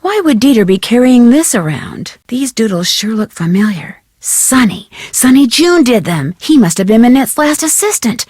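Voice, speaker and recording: An actress playing a curious, polite young American detective in a video game, speaking clearly and inquisitively. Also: female voice, on the high side, big pitch swings, moderate pace, hardly any pauses, a little mellow, dry recording, studio quality. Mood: pondering.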